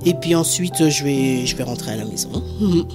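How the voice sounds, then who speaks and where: A woman's voice: very deep voice, lively intonation, normal speed, music in the background. A woman from the Antilles talking in a reserved, hesitant way, often repeating "voilà" and "oui" as an interviewee. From French Antilles. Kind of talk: casual conversation.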